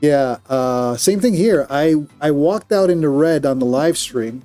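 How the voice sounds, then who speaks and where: Colombian man, mellow, candid trader talk. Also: fairly high pitch, animated, a little slow, faint music behind. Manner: candid, self-critical, with frequent restarts. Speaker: day trader streaming live. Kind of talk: live stream commentary, reading viewer chat.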